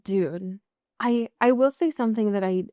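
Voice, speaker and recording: A woman co-hosts a casual recap podcast at a middling pitch. She talks informally and wryly, swearing freely. The sound is dry, with no room echo. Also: moderate pace, dark tone. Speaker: podcast co-host.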